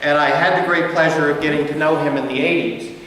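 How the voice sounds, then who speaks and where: A man's voice: fairly high pitch, fairly even intonation, fast talker, a bit bright. An older man giving a friendly, rambling academic lecture with many fillers and restarts. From United States. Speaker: guest lecturer. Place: large lecture hall. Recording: echoey hall from far off.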